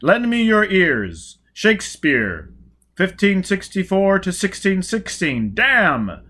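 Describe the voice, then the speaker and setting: Man putting on a loud, pompous showman buffoon. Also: high-pitched, huge pitch swings, slow pace, pitched above the performer's own voice, exaggerated voice. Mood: grandly declaiming. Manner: mock-earnest, declamatory. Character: pompous, buffoonish rich showman making a grand speech. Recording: noticeable background noise.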